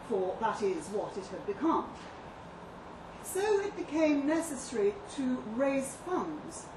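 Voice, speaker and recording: A woman playing an earnest, articulate author giving an interview, read live as a measured, deliberate dramatic monologue. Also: a little high. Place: live reading in a pub venue. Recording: distant echoey live room, noisy background.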